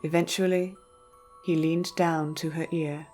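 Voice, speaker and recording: A woman's voice: low-pitched, little pitch movement, measured pace, a little mellow. A woman reading scripted storybook narration as the narrator. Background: music.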